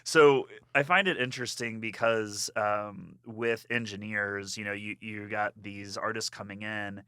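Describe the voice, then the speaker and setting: A man hosting a casual podcast chat, chatty and quick to add short agreements. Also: on the low side, steady tone, pushed and bright, clean tone, dry recording, clean studio sound. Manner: chatty, frequent brief agreements.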